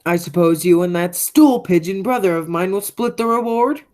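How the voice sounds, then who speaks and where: A woman's voice: lowish voice, moderate pace, darkish tone, natural voice. A young woman playing a menacing, murderous man in a radio play. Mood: paranoid, angry. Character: menacing murderous man back after twenty years away. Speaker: high school theatre actor.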